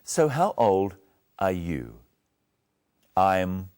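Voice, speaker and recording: A man teaching an English lesson, explaining phrases in a slow, deliberate, instructive way. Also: deep voice, big pitch swings, darkish tone, clean tone. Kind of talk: scripted lesson narration.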